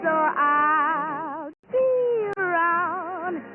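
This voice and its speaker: A woman's voice: very high-pitched, lively intonation, very slow. A woman singing a 1940s radio ballad over musical accompaniment. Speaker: singer. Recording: narrow vintage radio, music behind.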